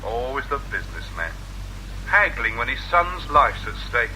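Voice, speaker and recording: An actor playing a cold, mocking kidnapper giving orders over the telephone in a radio drama. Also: male voice, medium pitch, sing-song, normal speed, a crowd in the background. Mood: taunting, controlled. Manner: mocking, commanding, falsely polite. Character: taunting, cold-blooded male kidnapper making ransom demands. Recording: noisy old radio drama, telephone call.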